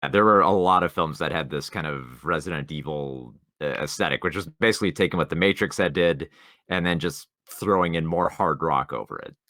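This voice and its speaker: A man with a deep, bright voice talks films as a podcast co-host. He rambles informally, stopping and restarting as he goes, on a close, dry recording. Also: no room sound. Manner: rambling, halting, informal with profanity. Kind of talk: casual film discussion.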